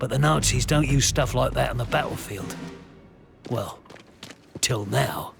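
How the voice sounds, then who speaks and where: An actor playing a dry, determined Allied army captain in a game, thinking aloud in terse, understated lines with a gravelly voice. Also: male voice, medium pitch, measured pace, music in the background. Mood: wary, concerned. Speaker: game protagonist.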